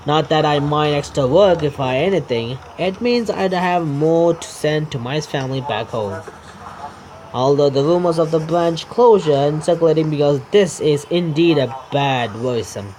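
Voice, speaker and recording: Man reading slightly high. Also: moderate pace, a little mellow, slight background noise, low-quality recording. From India. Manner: stumbles and repeats words, mutters asides. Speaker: gaming YouTuber reading a visual novel aloud. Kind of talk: read-aloud game narration with asides.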